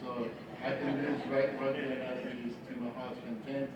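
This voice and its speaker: A man's voice: mid-range voice, flat delivery, normal speed. South African man speaking in an echoey room. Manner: wry, self-deprecating humor. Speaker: business founder, guest speaker. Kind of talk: informal motivational business speech. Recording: very echoey room, distant mic, noisy.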